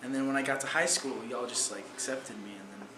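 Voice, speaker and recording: A man's voice: mid-range voice, brisk. A teenage male theater student giving casual, hesitant, informal interview answers. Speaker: high school theater student. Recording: echoey room, clearly noisy.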